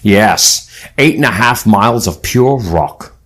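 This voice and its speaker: An actor playing a grave, knowledgeable team leader in an audio adventure drama, with a measured, authoritative way of explaining. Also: male voice, on the low side, expressive, a bit bright. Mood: explanatory, grave. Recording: faint background noise.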